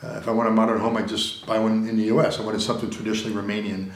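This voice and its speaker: A man's voice: on the low side, level tone, racing, echoey room. A middle-aged American man, an interview guest, talking in a casual, rambling way with repeated words and many fillers. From United States. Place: recording studio room.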